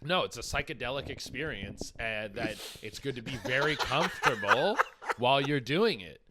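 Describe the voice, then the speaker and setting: A man's voice: mid-range voice, lively intonation, moderate pace, very noisy. A young man from Canada, a comedian guest in a casual podcast chat, rambling and laid-back with casual swearing.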